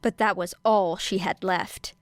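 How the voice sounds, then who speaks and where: A woman's voice: mid-range voice, steady tone, unhurried, dry recording. A woman reading a scripted fiction audiobook as narrator, close on the microphone. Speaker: audiobook reader.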